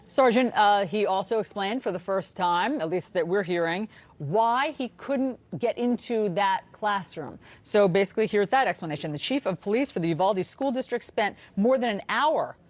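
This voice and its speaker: A woman news anchor reading a report and putting measured questions to a guest. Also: medium pitch, animated.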